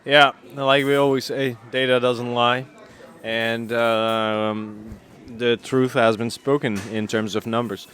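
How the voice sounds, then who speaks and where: A young man with a Danish accent hosts a casual food chat. He rambles through restarts and fillers in an ordinary middle-pitched voice. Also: little pitch movement, slow pace, fairly bright tone. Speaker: podcast co-host.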